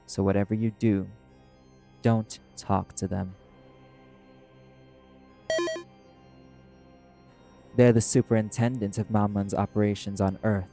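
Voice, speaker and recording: A proud, prickly demon played by a man in an audio roleplay. The voice is fairly low and very mellow, yet the delivery is curt, scornful and commanding, with faint music underneath. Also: level tone, normal speed, close to an everyday voice. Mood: stern, threatening. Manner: terse, scornful, commanding, swearing.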